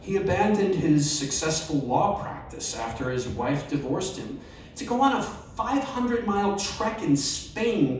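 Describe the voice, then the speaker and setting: A man reading a personal essay aloud at a live event, measured and expressive with wry emphasis. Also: sits high, a little hiss or hum. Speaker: story reader. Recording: very echoey live room, distant mic.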